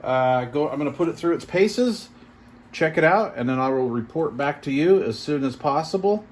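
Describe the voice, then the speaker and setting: A man's voice: on the high side, lively intonation, normal speed. A man giving casual unboxing commentary as a reviewer, thinking aloud with mild, impressed exclamations. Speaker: product reviewer. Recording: clear background noise and room ambience.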